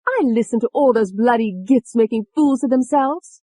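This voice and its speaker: Audiobook villain: a high-pitched, sneering young woman, volatile and furious, swooping wildly. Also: very animated, normal speed, no echo. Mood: angry scorn. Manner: sneering, taunting, explosive. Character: furious, taunting young British woman, a murderous alter personality.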